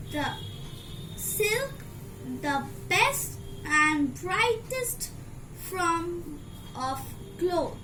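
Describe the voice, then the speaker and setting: A woman reading a children's story aloud in a deliberate, phrase-by-phrase storytelling delivery. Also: extremely high pitch. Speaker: storybook reader. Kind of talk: scripted storybook narration for children. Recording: clearly noisy amateur recording.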